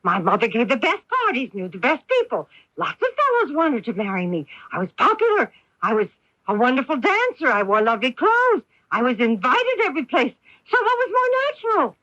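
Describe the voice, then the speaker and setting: A woman playing a bitter, wounded wife and mother in a 1980s radio drama, emphatic and theatrical, with deliberate pauses. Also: high-pitched, sing-song, pushed and bright, dry recording, exaggerated voice. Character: bitter, wounded wife and protective mother, faded society belle. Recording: dull lo-fi off-air radio recording.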